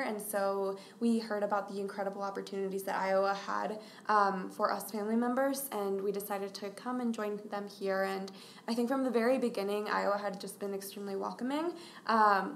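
A young woman in her twenties, originally from Mexico, talks earnestly as a podcast guest. She speaks in measured, thoughtful phrases dotted with ums. Her voice sits in a roomy space with a faint hiss behind it. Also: mid-range voice. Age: 20s. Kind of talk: podcast interview.

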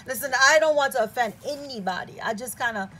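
A woman chatting casually and excitedly as she unboxes products at a table indoors. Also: high voice, slight background noise, poor audio. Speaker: product reviewer.

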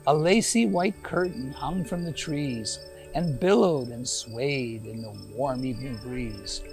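A man in his sixties reading a rhyming children's picture book aloud in a deliberate, expressive way. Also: mid-range voice, vocal fry, soft background music. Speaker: storytime reader. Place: outdoors in a green garden. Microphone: lapel mic.

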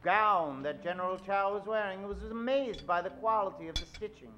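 Middle aged guy hosting old timey radio show, high bright voice, dramatic suspense narration. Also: expressive, a little fast, dull audio. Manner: theatrical, suspenseful. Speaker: host and narrator. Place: live stage, at a podium. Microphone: standing microphone.

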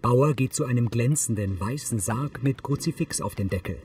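A man reading scripted audio description for a TV series in a neutral, measured manner. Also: medium pitch, darkish tone, a little hiss or hum.

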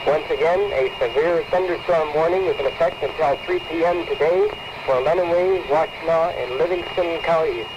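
A man's voice: a little high, moderate pace, highs cut off, background noise. An American male weather radio announcer reading a formal scripted warning bulletin on an old 1979 tape.

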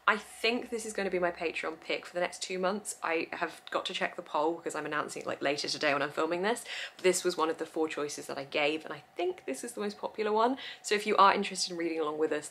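A woman's voice: low-pitched, fast talker, vocal fry, a bit bright, slight background noise. A young woman in her twenties chatting effusively about books, recorded indoors in front of bookshelves. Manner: chatty and effusive, laughs often. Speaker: book vlogger. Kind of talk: casual book review chat. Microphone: camera mic, head-and-shoulders framing.

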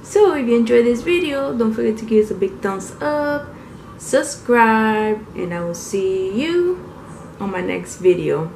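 A woman's voice: a little high, very animated, measured pace, vocal fry, a little mellow, a little hiss or hum. A middle-aged woman chatting casually for a home vlog in a loose, rambling way, correcting herself as she goes. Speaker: home vlogger. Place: at home, indoors, kitchen and nearby room.

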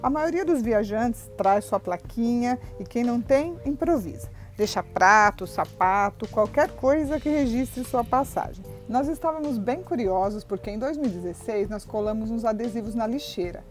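A woman's voice: on the high side, animated, moderate pace, few pauses, faint music behind. A Brazilian woman with a Brazilian Portuguese accent narrating a travel vlog in a cheerful, easy manner. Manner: cheerful, laughs often while filming.

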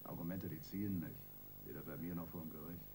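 A man's voice: low-pitched, steady tone, quick pace, warm tone, slight background noise, lightly acted. A man playing a domineering senior police detective in a radio crime drama, mocking, condescending and emphatic. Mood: sarcastic, dismissive.

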